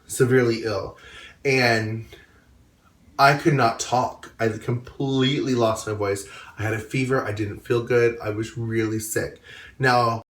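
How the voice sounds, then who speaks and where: Candid low-voiced man in drag recapping. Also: steady tone, fairly bright tone, reverberant, recorded from a distance. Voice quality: low baritone voice. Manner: candid, chatty, quick asides. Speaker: drag pageant performer. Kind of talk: casual talking-head recap. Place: indoor room.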